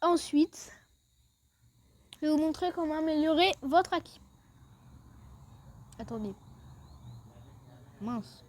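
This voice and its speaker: A woman's voice: sits high, animated, takes it slowly, pauses often, darkish tone. A woman giving a casual, chatty step-by-step game walkthrough, often saying "then" as she moves to the next step. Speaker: gaming video creator.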